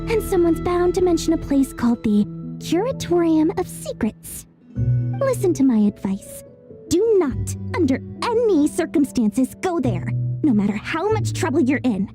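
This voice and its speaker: High, theatrical scheming young merchant lady. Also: big pitch swings, moderate pace. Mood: cautioning, smug. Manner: theatrical, salesy, self-promoting. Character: scheming, greedy, chatty young female merchant. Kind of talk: video game character acting. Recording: music under the voice.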